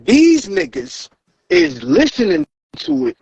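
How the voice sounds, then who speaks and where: A man's voice: high-pitched, huge pitch swings, slow pace, creaky, a little mellow, husky, no room sound, muffled recording. A man in his thirties, hosting a casual podcast chat, repeating words as he builds his point. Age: 30s. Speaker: podcast host.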